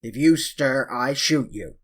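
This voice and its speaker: A grim sailor bent on revenge in a storybook reading, as a male narrator puts him on. He speaks loudly in short, threatening commands. His voice has a rough, husky edge. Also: mid-range voice, expressive, a little slow. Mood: menacing. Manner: loud, threatening, clipped commands. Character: vengeful sailor man, grim and menacing. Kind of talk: audiobook character voice.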